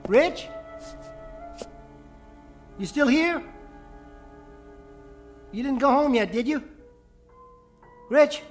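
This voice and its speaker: A man's voice: very high-pitched, lively intonation, drawn-out words, long pauses, faint music behind, heavily acted. A male actor playing a nervous, haunted ventriloquist in a radio play, stammering and insistent. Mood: uneasy, wheedling. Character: jittery, haunted male ventriloquist pleading for company.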